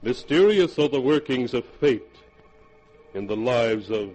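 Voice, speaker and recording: Slow, ominous 1940s radio horror narrator. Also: male voice, medium pitch, animated, darkish tone, faint music behind, poor audio. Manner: portentous, theatrical. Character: solemn, ominous male keeper of dark tales.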